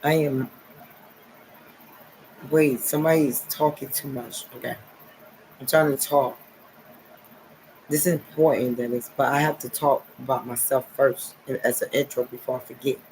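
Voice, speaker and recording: A man's voice: mid-range voice, fairly even intonation, normal speed, long pauses, a little mellow, a little hiss or hum, poor audio. A man in his forties from New Jersey, talking to his viewers in a rambling, repetitive monologue full of "okay" and "nice". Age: 40s. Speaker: vlogger.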